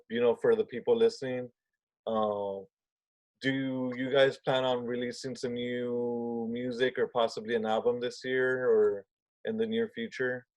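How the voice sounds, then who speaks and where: A man's voice: medium pitch, darkish tone. A man hosting a music podcast, asking questions casually and hesitantly, with many fillers and restarts. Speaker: podcast host. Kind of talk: casual interview.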